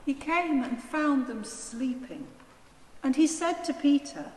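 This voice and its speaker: A woman's voice: sits high, animated, slow pace, a little mellow. A woman narrating a dramatised Gospel in a formal, declamatory reading style. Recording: echoey church hall, faint noise.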